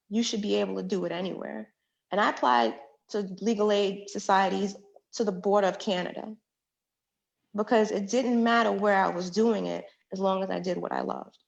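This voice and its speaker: An earnest woman lays out her case in a radio interview, stacking her points in repeated phrases. Her mid-pitched voice carries a gravelly fry, and the recording sounds dull and lo-fi. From Jamaica, Queens, New York. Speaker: judicial candidate and public defender.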